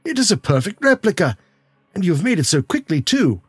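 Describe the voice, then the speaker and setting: A man voicing a polite, earnest gentleman who speaks courteously and eagerly. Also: high voice, sing-song, brisk, husky, dry recording, soft background music, voice pushed up, heavily acted.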